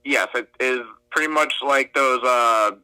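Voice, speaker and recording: Young man, referee guest, very bright voice, dry close sound, chatty, filler-heavy. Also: medium pitch, unhurried, clean tone, dry recording, low-quality recording. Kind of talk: casual interview answers.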